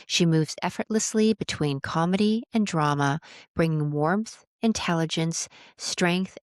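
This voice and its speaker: A woman's voice: sits low, no echo, clean studio sound. A woman of about sixty hosting an interview podcast, moving between a prepared introduction and conversation with a guest. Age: around 60.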